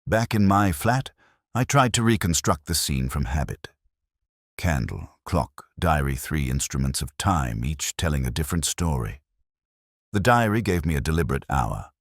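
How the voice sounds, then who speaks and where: Very deep-voiced man narrating a mystery. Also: big pitch swings, moderate pace, no room sound, clean studio sound. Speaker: audio drama narrator. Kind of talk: scripted first-person narration of a detective mystery.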